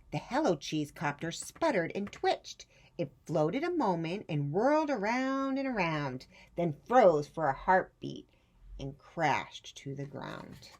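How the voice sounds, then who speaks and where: A woman storytime reader with a low voice. She narrates a children's book at a slow, measured pace and puts dramatic emphasis on her words.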